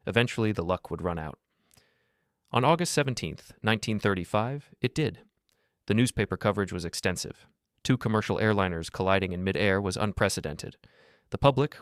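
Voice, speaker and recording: A man reading scripted documentary narration in a measured, grave manner. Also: sits low, dry recording, clean studio sound.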